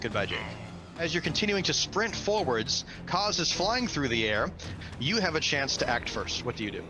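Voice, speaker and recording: A man's voice: on the high side, sing-song, normal speed, low-quality recording. A man acting as game master, narrating a tabletop fantasy game with dramatic storytelling and vocal sound effects. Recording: loud music under the voice. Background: loud music.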